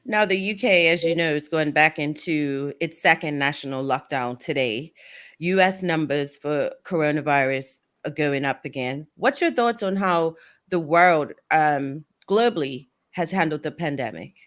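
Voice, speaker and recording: Woman talk show host, bit hoarse, lowish voice, asks quick direct questions. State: losing her voice. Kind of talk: interviewing a guest.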